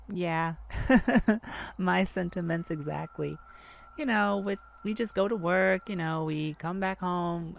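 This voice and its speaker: Slow-talking jovial girlfriend, acted by a woman. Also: fairly low pitch. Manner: playful, affectionate, chatty with frequent giggles. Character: jovial, affectionate girlfriend treating partner to a night out. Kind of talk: ASMR girlfriend roleplay, casual and intimate. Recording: clear background ambient noise. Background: ambient sound effects.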